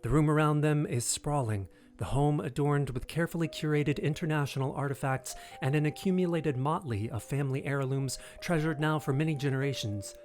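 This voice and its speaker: A man's voice: a little high, brisk, few pauses, darkish tone, faint music behind. A man narrating an audio drama in a scripted, dramatic storytelling style.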